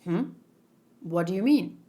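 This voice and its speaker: A woman's voice: lowish voice, expressive, unhurried, mellow. A woman voicing a weary young male soldier in a manga, cocky and oblivious, complaining in a casual, self-satisfied way.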